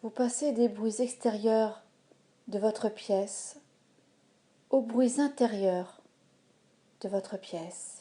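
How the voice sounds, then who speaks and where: A woman leading a guided yoga nidra relaxation as the instructor, giving calm, measured, soothing instructions with pauses. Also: mid-range voice.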